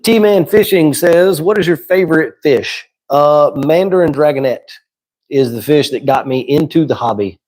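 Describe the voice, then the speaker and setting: Hoarse man hosting a livestream. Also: fairly high pitch, moderate pace. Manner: relaxed, conversational, answers chat questions. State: hoarse, losing his voice. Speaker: livestream host. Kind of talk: casual livestream Q&A.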